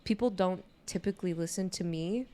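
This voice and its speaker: A young adult woman with a naturally low voice chats casually on a podcast, speaking in a candid, informal way peppered with "you know". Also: a little fast, warm tone. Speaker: podcast co-host. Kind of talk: casual conversational podcast chat.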